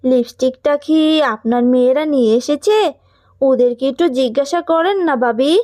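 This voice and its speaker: A woman voicing a poor, anxious, gentle fox mother in a children's cartoon, pleading and soothing in a motherly way. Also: high-pitched, normal speed, no echo, studio quality, lightly acted.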